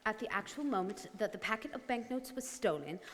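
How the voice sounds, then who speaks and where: Assured English club gentleman played by a woman, echoing. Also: a little high, expressive, brisk. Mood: assured, contrary. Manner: formal, assured, precise. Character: confident, argumentative English club gentleman at cards. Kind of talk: stage radio drama acting. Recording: reverberant hall.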